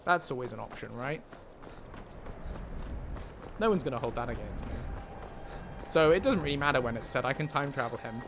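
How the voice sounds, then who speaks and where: A man's voice: medium pitch, animated, moderate pace, gravelly, long pauses, noisy background. A man livestreaming casually over a game, chatting and thinking aloud as he plays. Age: younger than 50. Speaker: livestreamer, mod creator and voice actor. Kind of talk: casual livestream chat while playing a game. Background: video game music and character dialogue.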